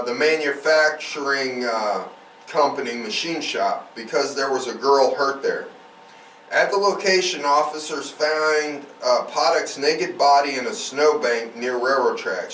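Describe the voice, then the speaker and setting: Guy podcast host, slowish delivery, sweary irreverent asides, echoey room off the mic. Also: medium pitch, fairly bright tone. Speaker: true crime podcast host. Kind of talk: reading case notes with crude casual asides. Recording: roomy, distant mic, faint background noise.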